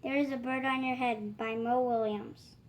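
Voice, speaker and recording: High voiced lady hosting a kids book read aloud, bit of background noise. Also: fairly even intonation, normal speed, low-quality recording.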